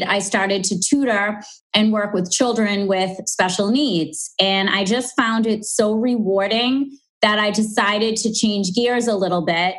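Boston woman, forties, podcast guest; chatty storyteller, mid-pitched voice. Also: fairly bright tone, low-quality recording. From Boston, USA. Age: 40s. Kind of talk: casual interview chat.

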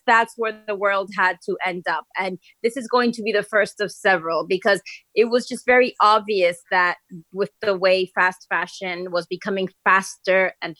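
A woman's voice: medium pitch, a bit bright, no echo, low-quality recording. A woman from Miami speaking as an interview guest, telling her story in a conversational way. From Miami, United States. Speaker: interview guest, fashion entrepreneur.

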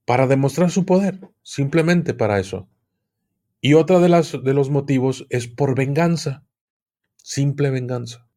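A man with a Mexican accent speaking as an interview guest, explaining things conversationally and haltingly, often repeating words. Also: mid-range voice, mellow. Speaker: podcast guest, occultism expert.

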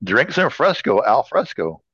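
A man's voice: medium pitch, big pitch swings, a little slow, a bit bright, breathy edge, dry recording. A man co-hosting a podcast with casual, joking banter, riffing playfully as he guesses. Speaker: podcast co-host. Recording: slightly dull, lo-fi sound.

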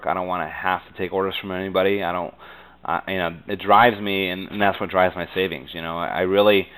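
An American man giving conversational interview answers as a business guest, earnest and halting, often saying "you know.". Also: deep voice, fairly bright tone, smooth, rough recording.

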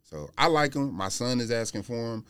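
A man's voice: medium pitch, big pitch swings, fairly quick, a bit bright, clean tone, dry recording. A man chatting informally as a podcast guest, explaining his opinions in a conversational way. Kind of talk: casual chat about sneakers. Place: podcast studio.

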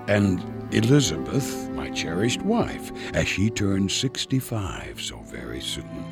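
An aged, devout priest in a Bible radio drama. He is stubborn yet tender, with a creaky, gravelly voice that rises and falls dramatically. He speaks slowly and deliberately, over faint music. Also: fairly low pitch, full character voice. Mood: prayerful.

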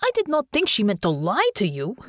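A woman voicing a blunt but caring foreign woman, speaking deliberately and dropping small words. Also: medium pitch, wildly swooping pitch, a bit bright, dry recording, clean studio sound, voice pushed up. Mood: appalled. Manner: slow, deliberate, dropping articles.

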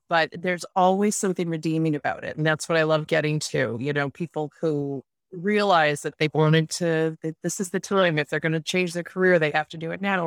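This is an American woman in her fifties with a voice a little lower than most women's. She speaks as an interview guest, telling things frankly and reflectively. The sound is dry and free of room echo. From United States, upstate New York. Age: 50s. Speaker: podcast guest, writer and podcaster. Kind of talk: candid conversational interview answers.

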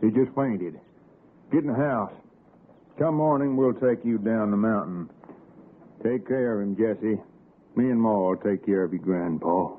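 A man's voice: on the low side, unhurried, pauses often. An actor playing a curt, cantankerous middle-aged mountain father with an Appalachian accent in a radio suspense drama. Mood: commanding. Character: gruff, bossy middle-aged hillbilly father. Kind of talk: 1960s radio suspense acting. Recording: tinny, noisy 1960s radio recording.

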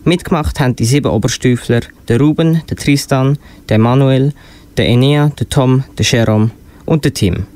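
A man's voice: mid-range voice, moderate pace, darkish tone, no room sound. A young-sounding male narrator with a Swiss German accent, reading a scripted children's story. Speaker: narrator and presenter of a school radio play. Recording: slightly noisy amateur recording with clicks.

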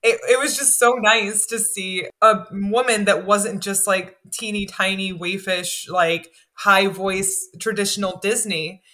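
Bright, chatty woman with a lower voice. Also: unhurried, few pauses. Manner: animated, chatty with many "like" fillers. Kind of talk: casual podcast chat.

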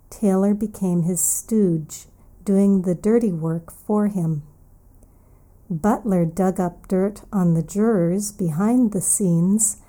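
Woman, English teacher, lesson host, slow careful delivery, repeats key words, very mellow dark tone. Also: mid-range voice. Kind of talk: English vocabulary lesson for learners.

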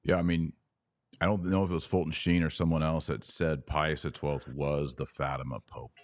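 Male podcast host, extremely low voice, casual delivery, dry close recording. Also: fairly even intonation, normal speed, no room sound.

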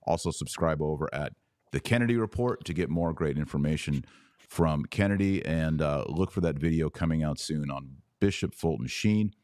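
A man with a low voice hosts a podcast in a casual, conversational style. He sounds informal and enthusiastic. The sound is dry, with very little room on it. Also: normal speed, darkish tone, no room sound. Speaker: podcast host. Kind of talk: casual chat.

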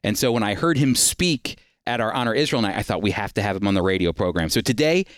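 A man's voice: lowish voice, lively intonation, fast talker, no room sound, studio quality. A man hosting a radio program, presenting introductions and interviewing a guest. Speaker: radio show host and Bible teacher.

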